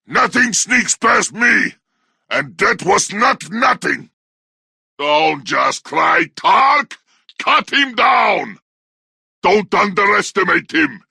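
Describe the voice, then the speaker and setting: A man playing a brutish, bloodthirsty orc warrior in a video game, snarling crude taunts in a harsh, raspy growl. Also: high-pitched, pushed and bright, dry recording, studio quality, heavily acted. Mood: suspicious, gruff. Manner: snarling battle barks in crude, broken grammar. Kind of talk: video game combat barks.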